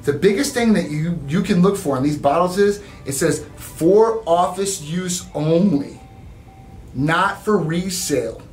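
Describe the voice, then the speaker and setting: A man's voice: sits high, unhurried, darkish tone. A man in his forties gives a direct-to-camera explainer as a clinic spokesman, emphatic and tagging his points with "right?". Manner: emphatic, repeats "right?" often. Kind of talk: direct-to-camera educational talk. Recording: roomy, distant, faint music bed.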